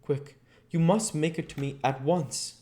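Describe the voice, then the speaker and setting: A man acts out a tender young wife in a gothic storybook. The pitch is lifted far up. She sounds solemn and earnest, pleading with insistence. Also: a little slow, mellow, close to an everyday voice. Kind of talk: dramatic audiobook character reading.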